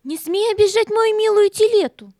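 A woman's voice: extremely high pitch, moderate pace, mellow, no echo, clean studio sound. A woman playing an anxious, tender-hearted little girl with an earnest, childlike manner in a children's audio play. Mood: indignant.

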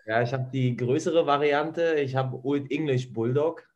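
A man's voice: medium pitch, unhurried, darkish tone, highs cut off. A man chatting as a guest, easygoing and hesitant with many fillers. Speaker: guest, ice hockey player.

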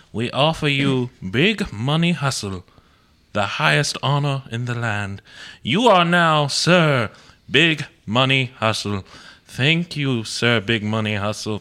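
Guy doing the elderly queen, regal and formal, really unhurried, gracious, comedy radio skit. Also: medium pitch, pushed and bright. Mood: formal, grateful. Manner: stately, formal, measured. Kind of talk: comedy radio play character acting.

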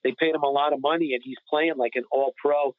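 A man's voice: fairly high pitch, steady tone, fast, no echo. A man giving emphatic, opinionated analysis in a casual sports podcast discussion. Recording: phone-like narrow-band sound.

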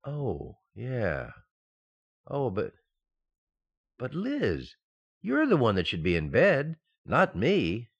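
Flustered husband, wildly swooping delivery. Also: male voice, medium pitch, takes it slowly, pauses often, slightly rough, full character voice. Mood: dazed. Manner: excitable, dithering. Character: flustered, easily shocked husband and expectant father. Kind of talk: old-time radio sitcom acting.

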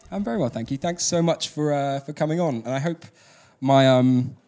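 A man's voice: on the high side, normal speed, dark tone, faint music behind. A man interviewing a guest in a friendly, chatty way, with playful asides and some hesitation. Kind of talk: casual interview conversation.

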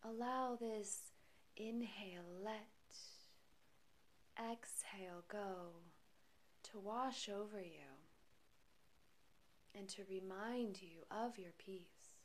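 A woman guides a meditation at a very slow pace. Her medium-pitched voice is soft and breathy. She speaks gently and calmly, leaving long pauses between short phrases. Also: rough recording. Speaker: meditation guide and podcast host.

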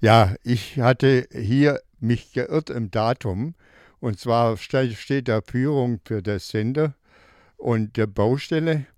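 A man's voice: mid-range voice, slow, a little mellow, no room sound, clean studio sound. Cheerful man with a Swabian accent. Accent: Swabian German. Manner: cheerful, laughs often. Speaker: studio visitor.

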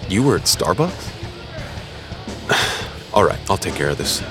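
A man's voice: low voice, very animated, unhurried, fairly bright tone, exaggerated voice. An actor playing a terse, commanding, cocky man in his prime in an audio drama. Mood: stern, commanding. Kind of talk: full-cast audio drama dialogue. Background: music.

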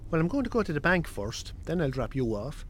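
Actor playing passer-by, lively swooping intonation, middling pitch, radio drama, slight background noise. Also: a little fast, lightly acted. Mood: relaxed. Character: alarmed local passer-by. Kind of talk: naturalistic radio drama acting.

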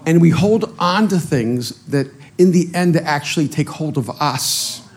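A middle-aged man from El Salvador whose first language is Spanish, preaching a sermon as a pastor in an animated, self-deprecating way, often repeating words as he restarts. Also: fairly high pitch, measured pace.

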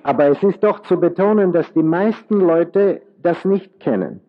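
An older man from Austria with a Viennese accent worn down by decades abroad, slowly and thoughtfully teaching a workshop audience. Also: high-pitched, expressive, phone-quality sound. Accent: Viennese German, worn by years in the US. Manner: slow, thoughtful, searching for words. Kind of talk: lecture with audience questions. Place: lecture hall with live audience.

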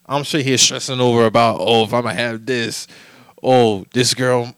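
A man's voice: mid-range voice, fairly even intonation, moderate pace, fairly bright tone, smooth, dry recording. A man in his late twenties with an American accent, chatting casually and candidly with lots of slang, swearing and check-in phrases. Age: late 20s. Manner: heavy slang and profanity, repeated check-in phrases.

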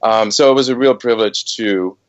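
Low-voiced man, muffled sound. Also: fairly quick, smooth. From Switzerland. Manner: hesitant, many fillers and restarts. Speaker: guest music producer. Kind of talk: casual podcast interview.

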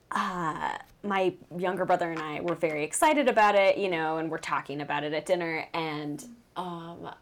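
A woman co-hosting a casual podcast, thinking aloud in long rambling turns that get animated when she tells a story. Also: lowish voice. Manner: thoughtful, rambling, animated when telling stories. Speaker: podcast co-host. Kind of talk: casual chat.

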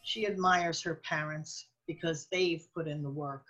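A woman's voice: low-pitched, steady tone, unhurried, a bit bright, low-quality recording. A woman reading scripted narration for a radio drama, describing scenes as the narrator.

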